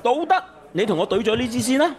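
An actor playing an excitable, earnest, lovelorn young bachelor in a radio drama, with a Hong Kong accent. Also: male voice, very high voice, fast talker, a crowd in the background. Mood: elated, boastful. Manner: animated, earnest, easily flustered. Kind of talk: Cantonese radio drama acting.

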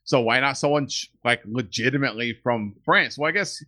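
A man's voice: mid-range voice, a little fast. A man co-hosting a casual movie podcast, telling rambling stories with plenty of asides. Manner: rambling, animated storytelling.

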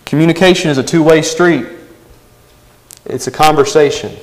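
A man's voice: on the high side, normal speed, poor audio. A man preaching a sermon, earnest and emphatic, often asking his listeners "right?".

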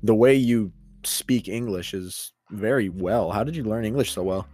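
A man's voice: on the low side, moderate pace, warm tone. A man hosting a casual podcast interview, laid-back and swearing freely. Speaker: podcast host.

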